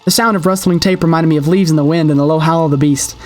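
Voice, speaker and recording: A woman hosting a gaming video, reading a horror game's story aloud in an expressive storytelling manner with her own asides. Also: low-pitched, fast talker, slight background noise. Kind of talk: dramatic read-aloud narration of a horror game with asides. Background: game soundtrack music.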